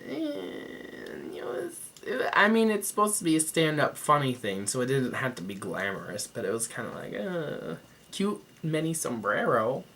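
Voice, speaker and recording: A man's voice: medium pitch, huge pitch swings, measured pace, gravelly, fairly bright tone, a little hiss or hum. A young man giving a sassy, profane, gossipy review to camera as a vlogger. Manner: sassy, profane and gossipy, with mocking quoted voices. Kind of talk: casual talk to camera.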